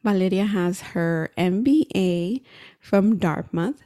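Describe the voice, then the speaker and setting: A woman's voice: lowish voice, takes it slowly, mellow. A woman hosting a podcast, introducing and questioning her guest in a conversational interview style.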